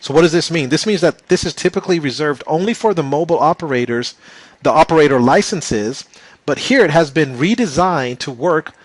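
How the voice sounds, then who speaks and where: A man with a slightly hoarse voice giving an enthusiastic sales pitch for a business opportunity, calling his viewers "you guys". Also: expressive, brisk, dry recording. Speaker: presenter.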